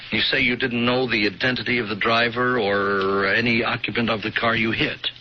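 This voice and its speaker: An actor playing a plainspoken, persistent state trooper in a radio drama, with a halting, polite, probing manner. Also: male voice, mid-range voice, little pitch movement, close to an everyday voice. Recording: dull, slightly noisy vintage radio recording.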